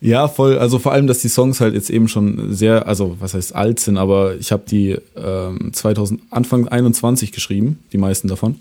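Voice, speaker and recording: A young man, a singer-songwriter, talking in a relaxed way with many fillers and a voice that trails off softly. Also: lowish voice, a little fast, few pauses. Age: young adult. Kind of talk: casual interview chat.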